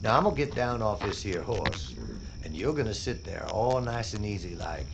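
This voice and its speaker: A man's voice: lowish voice, animated, moderate pace, creaky, noisy background. A man playing Lamort, a sneering bounty hunter. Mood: mocking. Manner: mocking, drawling, menacing. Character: swaggering gruff male bounty hunter Lamort. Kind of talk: audio drama acting.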